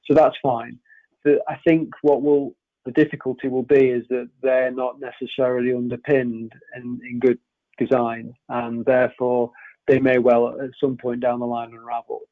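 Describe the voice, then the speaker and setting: A man's voice: medium pitch, level tone, normal speed, no room sound. A man speaking as a webinar panel guest, hesitant and full of restarts. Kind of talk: webinar discussion. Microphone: video call. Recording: thin phone-like call audio.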